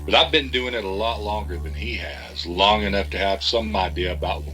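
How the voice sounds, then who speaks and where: A man's voice: fairly low pitch, moderate pace, sharp tone. An actor playing a cagey, folksy man who deals in secrets, with a knowing, evasive manner. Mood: guarded. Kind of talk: audio drama acting.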